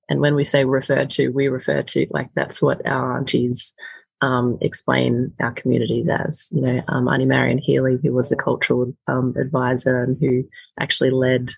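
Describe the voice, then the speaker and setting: A woman speaks in a husky voice with a gravelly edge. She talks in a halting, reflective way, filling gaps with soft pauses. The sound is close and dry with no room around it. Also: very deep voice, flat delivery, dry recording, low-quality recording. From Australia. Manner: thoughtful, halting, with many filler pauses. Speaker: actor interviewed as a guest. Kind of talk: casual reflective interview talk.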